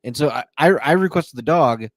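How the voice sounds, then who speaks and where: Talkative podcast co-host man, mid pitch. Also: animated, moderate pace, no echo, studio quality. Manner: talkative storyteller, many fillers. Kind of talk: casual podcast chat.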